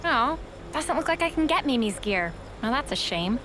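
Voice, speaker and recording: A breezy young woman fighter in a game. Her pitch is very high and swings freely, giving an eager sound, with clear noise behind it. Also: big pitch swings, moderate pace. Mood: mild letdown. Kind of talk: video game cutscene acting.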